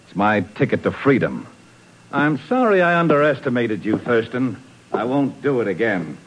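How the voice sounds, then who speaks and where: An actor playing a sly, scornful spy villain in 1950s radio drama, mocking and theatrical. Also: male voice, mid-range voice, big pitch swings, normal speed, slight background noise, lightly acted. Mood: coolly menacing. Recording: dull lo-fi 1950s radio broadcast.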